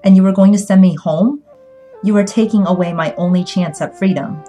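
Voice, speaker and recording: A woman's voice: sits low, fairly quick, faint music behind, natural voice. A woman voicing a passionate, defiant young Chinese daughter in an audiobook, urgent and indignant. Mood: hurt, furious.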